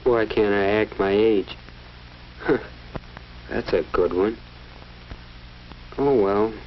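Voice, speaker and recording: An actor voicing a brooding teenage schoolboy's thoughts in a 1940s educational film, musing to himself with self-mockery. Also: male voice, on the low side, drawn-out words. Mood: musing, wry. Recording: muffled, noisy old 1940s film soundtrack.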